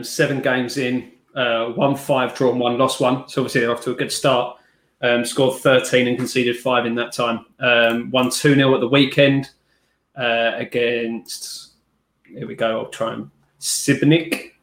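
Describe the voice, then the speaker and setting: Even-toned man in an echoey room. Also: medium pitch. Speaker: football podcast panellist. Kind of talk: casual football discussion. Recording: roomy, echoing sound.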